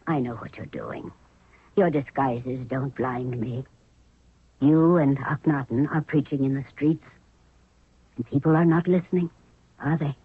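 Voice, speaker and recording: An actress playing a motherly, knowing old queen in a 1970s radio drama, weary and deliberate. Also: rumbling low, steady tone, slightly rough, full character voice. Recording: narrow, tinny 1970s radio recording.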